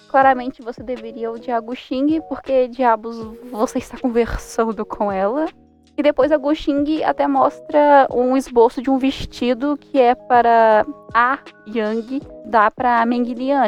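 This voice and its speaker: A young woman with a Brazilian accent giving a casual, informal and expressive review commentary. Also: a little high, unhurried. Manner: informal, expressive, exclaiming in mock disbelief. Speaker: manhwa reviewer. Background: faint music.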